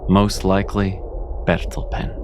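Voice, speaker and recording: A man playing a grave, weary, principled investigator in a fantasy audio drama, speaking tersely and deliberately. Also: sits low, completely flat delivery, noisy background. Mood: intent, grave.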